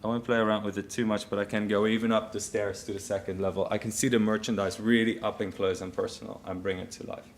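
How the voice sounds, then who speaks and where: A man with a Dutch accent giving a conference keynote in a relaxed, conversational way while walking through a live demo. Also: on the low side, quick pace, hardly any pauses, slight background noise. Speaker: keynote speaker. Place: conference stage.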